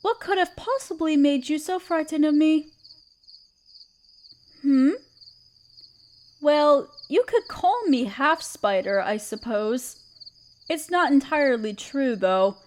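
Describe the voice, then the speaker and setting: A woman playing a gentle, protective half-spider queen in a roleplay audio drama, speaking tenderly and soothingly to the listener. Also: sits high, animated, takes it slowly, long pauses. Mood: puzzled, reassuring. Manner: tender, soothing, hesitant and coaxing. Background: chirping crickets.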